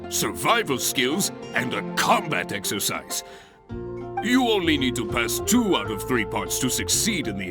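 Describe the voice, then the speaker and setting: Casting: middle-aged fantasy game guild boss, hearty and theatrical, hugely animated, unhurried, over prominent music. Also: male voice, mid-range voice. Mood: hearty, enthusiastic. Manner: theatrical, grumbling, exclamatory. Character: hearty, blustering middle-aged guild branch master, male. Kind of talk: fantasy video game voice acting.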